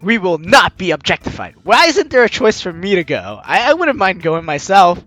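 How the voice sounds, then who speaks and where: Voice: mid-range voice, very animated, moderate pace, fairly bright tone, dry recording. A let's player giving jokey, teasing commentary and reading a game's story aloud, with game music behind. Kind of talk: let's play commentary and read-aloud narration.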